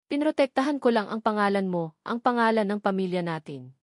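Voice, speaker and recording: A woman's voice: mid-range voice, animated, a little fast, no room sound, studio quality, lightly acted. A woman voicing a proud, defiant female schemer in a romance story, haughty and self-justifying.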